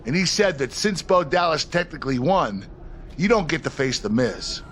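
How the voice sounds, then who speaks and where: Casting: a sympathetic, resigned wrestling boss for a video game, animated, faint background noise. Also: male voice, fairly high pitch, normal speed. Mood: resigned, frustrated. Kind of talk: video game cutscene acting.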